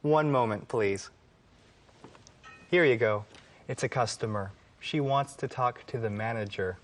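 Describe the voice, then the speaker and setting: رجل يؤدي شاب عامل مقهى بنطق واضح. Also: male voice, mid-range voice, measured pace, lightly acted. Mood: polite, businesslike. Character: young male cafe worker, teasing brother, eager to please. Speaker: actor in an English-teaching sitcom. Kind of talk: scripted sitcom dialogue.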